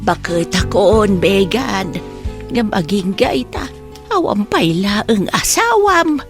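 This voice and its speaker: A woman's voice: medium pitch, lively intonation, slow, over music. A woman with an Ilocano accent acting a worried, nagging mother of a grown daughter in a radio drama.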